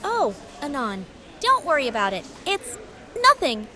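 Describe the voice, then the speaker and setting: A woman acts out a dismissive young student in a visual novel dub. The voice is high and swings extremely in pitch. Faint music sits behind it. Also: huge pitch swings, a little slow, bright, forceful tone, full character voice. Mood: brushing off.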